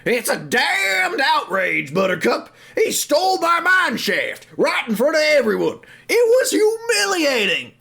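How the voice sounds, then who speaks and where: A man's voice: very high-pitched, huge pitch swings, unhurried, vocal fry, hardly any pauses, bright, forceful tone, pitched above the performer's own voice, exaggerated voice. A man voicing an indignant, anxious stable horse in an audio drama, with a strained, dramatic way of complaining. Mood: outraged.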